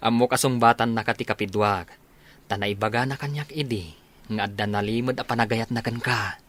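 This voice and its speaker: A man's voice: medium pitch, moderate pace, a bit bright, lightly acted. Guy as young soldier buddy in ilocano radio comedy, medium voice, teasing, kinda evasive and hesitant.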